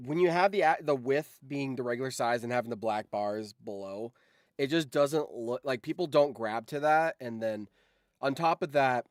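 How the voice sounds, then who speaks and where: A man's voice: medium pitch, normal speed, no echo. A young man hosting a casual livestream, talking in a rambling way that often trails off, close to the mic. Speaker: streamer and host.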